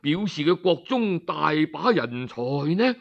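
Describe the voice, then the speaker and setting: Man voicing a formal, slow-spoken Cantonese ruler. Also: a little high, sing-song, gravelly, dull audio, exaggerated voice. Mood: startled, uneasy. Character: dignified, humble visiting ruler, ceremonious and uneasy.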